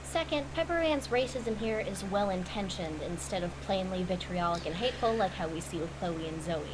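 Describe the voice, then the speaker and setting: A woman's voice: medium pitch, lively intonation, brisk. Woman's analytical commentary over noisy audio. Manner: articulate, analytical, opinionated. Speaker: video essay commentator reviewing a cartoon. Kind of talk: scripted critical commentary. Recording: clearly noisy audio with hum.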